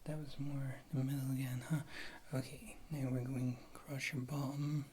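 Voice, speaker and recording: A wry, thrifty witch healer played by a woman murmurs softly in an ASMR fantasy roleplay, often dropping into a whisper. The delivery rambles casually and dryly reassures, over clearly audible background noise. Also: extremely low pitch, level tone, moderate pace. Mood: soothing, focused. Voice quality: soft, often slipping into a whisper.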